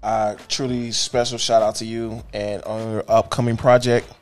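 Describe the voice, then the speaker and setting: A man co-hosting a podcast from a home studio, joking loudly and laughing often in crude casual banter. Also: on the low side, flat delivery, moderate pace, soft background music. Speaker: podcast co-host. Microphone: studio mic on desk stand, headphones.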